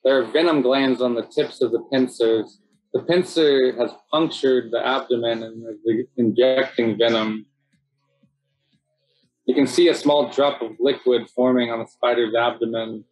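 A man's voice: medium pitch, unhurried, faint music behind, rough recording. Laid-back man explaining cave life. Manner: relaxed, chatty, many fillers like "so yeah" and "um". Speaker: city cave biologist presenting. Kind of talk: casual narrated slideshow talk. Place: indoors at home, video call.

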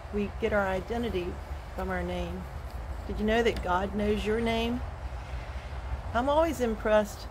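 A woman in her sixties, a retired teacher, sharing a gentle, earnest devotional while recording on her phone on an outdoor porch. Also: mid-range voice, background noise. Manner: slow, gentle and earnest, with soft laughs. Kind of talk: casual devotional walk-and-talk vlog. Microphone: phone held at arm's length.

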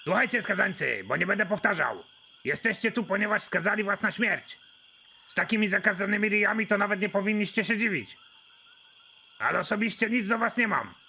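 Blunt, offhand male executioner for an animated comedy, acted by a man. High voice, deadpan, noisy track. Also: brisk, creaky, heavily acted. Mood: deadpan, bored. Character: blunt, bored, sarcastic male prison executioner. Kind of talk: amateur animated comedy acting.

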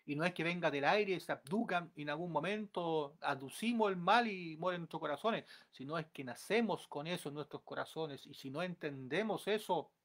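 A man in his thirties preaching an earnest, exhorting sermon to a webcam in a small room at home, building to forceful pleas. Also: on the high side. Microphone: webcam at close range.

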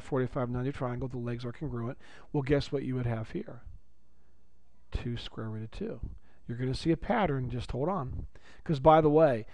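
A man with a raspy voice teaching a geometry lesson, upbeat and chatty, repeating key phrases for his students. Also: mid-range voice, a little mellow, no room sound. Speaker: math teacher. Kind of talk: recorded classroom lecture.

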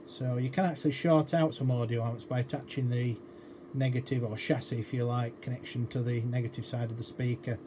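Guy doing an informal tech walkthrough at a workbench, pretty monotone, kinda hesitant, noticeable background noise. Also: mid-range voice, fairly quick. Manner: explanatory, hesitant, thinking aloud. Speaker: electronics repair demonstrator. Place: indoor electronics workbench. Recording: clearly noisy workshop room recording.

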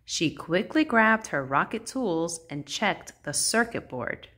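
Slow, lively woman reading a storybook. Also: fairly low pitch. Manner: measured, sing-song storytelling. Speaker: children's book read-aloud host. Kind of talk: expressive picture-book read-aloud in rhyme.

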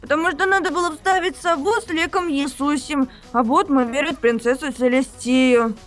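A woman voicing a lazy young male author character in a visual novel, in a deadpan, matter-of-fact way. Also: sits high, normal speed, faint music behind, lightly acted.

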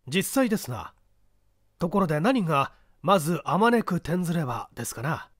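A man puts on a polite, inquisitive gentleman visiting a castle in an audiobook. He questions formally and eagerly in a high, swooping voice. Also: very animated, moderate pace, no echo, studio quality. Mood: curious, polite.

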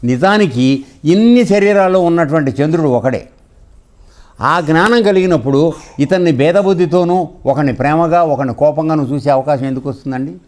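High-pitched male spiritual teacher, conversational. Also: normal speed, a little mellow. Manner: didactic, conversational, checks understanding with questions. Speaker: spiritual teacher giving a discourse.

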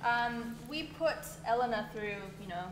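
A woman's voice: on the high side, animated, measured pace, reverberant, slight background noise, low-quality recording. A woman in her thirties hosting a talk show, upbeat and prompting her guests, on a studio mic. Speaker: host. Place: studio set with shelves. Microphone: studio mic on boom arm.

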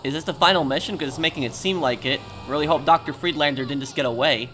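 A man giving casual gameplay commentary in a hesitant, halting way full of ums. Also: a little high, sharp tone, soft background music, low-quality recording. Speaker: gamer, video host.

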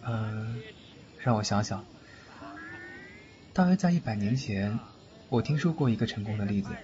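A man's voice: on the low side, expressive, moderate pace, a little mellow, natural voice. A man playing a teasing godfather versed in spirit magic, in a theatrical audio drama style. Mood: knowing, explaining. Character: teasing, age-shy godfather who knows spirit magic. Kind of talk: Chinese audio drama acting. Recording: muffled lo-fi, faint noise.

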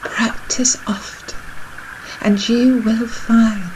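A woman leading a guided breathing meditation in a soothing, measured, soft and breathy voice that nears a whisper at times. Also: a little high, steady tone, background noise. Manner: soothing, measured, unhurried instruction.

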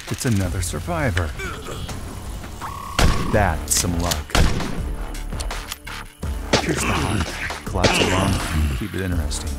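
A hard-boiled male sniper, played by an actor, narrates a motion comic in a low voice. He is terse, boastful and cold-blooded, talking like a cynical professional killer over loud music. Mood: grim, focused. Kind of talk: first-person scripted narration of a motion comic.

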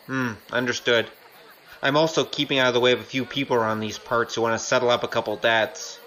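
An actor playing a cheeky, roguish young gambler with a wry manner, in an audio drama. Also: male voice, medium pitch, fast, lightly acted, poor audio. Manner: animated, wry.

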